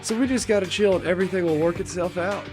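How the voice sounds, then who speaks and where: A man's voice: high voice, fast talker, music in the background, natural voice. A man voicing a laid-back, optimistic teenage slacker with casual phrasing, in an anime game fan dub. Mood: breezy.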